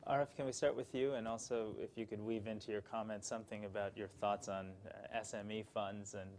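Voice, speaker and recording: A man moderating a conference panel, courteous and brisk as he steers the discussion. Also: fairly low pitch, little pitch movement, a little mellow, a little hiss or hum. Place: conference hall.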